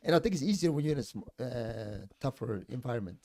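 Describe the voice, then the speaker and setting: Podcast guest, male, late 20s, from Sweden, lively chatty comic delivery. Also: mid-range voice, fast. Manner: laid-back, joking, lots of fillers. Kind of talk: casual podcast chat.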